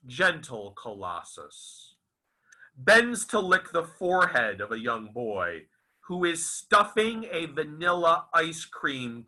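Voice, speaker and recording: A man reciting his own literary fiction as its author, in a theatrical, deliberate and emphatic manner. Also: medium pitch, pushed and bright, poor audio. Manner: dramatic, deliberate, emphatic with repeated phrases. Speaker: author reading own novel aloud.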